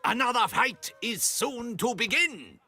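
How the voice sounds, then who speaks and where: An actor playing a flamboyant tournament herald in a fantasy video game, grandiose and declamatory. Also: male voice, very high voice, lively intonation, measured pace, pushed and bright, no room sound, heavily acted. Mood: grand, ceremonious. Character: flamboyant male tourney herald addressing lords and ladies.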